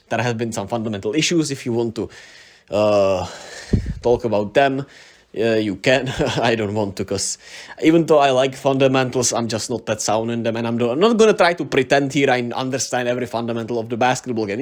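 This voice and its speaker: Czech-accented man, channel host, relaxed solo sports chat, mid-pitched voice, fillers and "right?" tags. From Czech Republic. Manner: chatty, laughs often, many fillers and tag questions. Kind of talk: casual solo basketball commentary.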